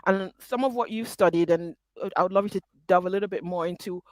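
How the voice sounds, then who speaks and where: A woman's voice: fairly low pitch, fairly quick, no room sound. A woman hosting a live interview, with a slight Aberdonian accent mixed with Nigerian and American traces, speaking in a reflective, conversational way. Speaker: host.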